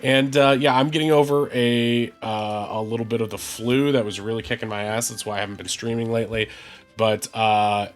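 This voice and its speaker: A man's voice: medium pitch, level tone, fairly quick, faint music behind. A man hosting a tabletop game stream, congested from the flu, chatting loosely with lots of fillers before opening the session. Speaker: game master. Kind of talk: casual stream chat and game intro.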